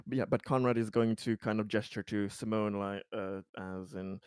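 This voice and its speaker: A man playing a tabletop game, chatting casually and informally with halting phrasing. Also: fairly low pitch, fairly even intonation, a little mellow, smooth, no room sound, clean studio sound.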